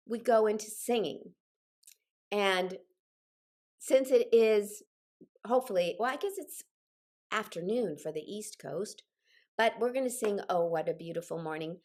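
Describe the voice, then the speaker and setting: A woman's voice: medium pitch, a little mellow. An older woman getting over a cold, leading a group singing session over a video call in an encouraging, directive way. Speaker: choir leader and singing instructor. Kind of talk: instructional group singing session with personal asides.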